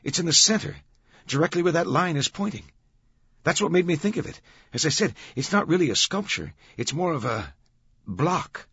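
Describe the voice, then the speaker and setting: Man voicing an eager young guard, high-pitched. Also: expressive, moderate pace, a bit bright, slightly rough, no echo, lo-fi sound, full character voice. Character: earnest young male guard, eager and deferential.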